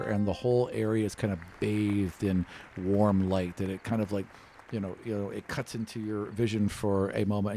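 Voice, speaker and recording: Man, low pitch, game master, improvised tabletop narration, conversational delivery. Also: darkish tone. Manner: conversational, loosely improvised descriptions.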